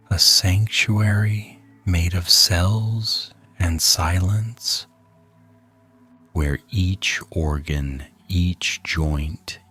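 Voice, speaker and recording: A man leads a scripted guided sleep hypnosis in a soft, breathy, often near-whispered voice, soothing and hushed, with lingering pauses between phrases. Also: low voice.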